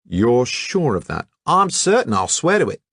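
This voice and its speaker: Male narrator doing brash young Aussie art student, high, loud, slangy, lively. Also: measured pace, creaky, husky, dry recording, clean studio sound, heavily acted. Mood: eager. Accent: Australian. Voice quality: broad colloquial slang.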